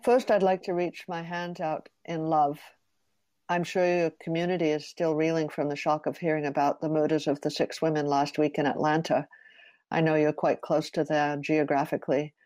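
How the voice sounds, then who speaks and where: Low-voiced woman hosting a podcast. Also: flat delivery, moderate pace, a little mellow. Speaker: podcast host. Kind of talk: interview hosting.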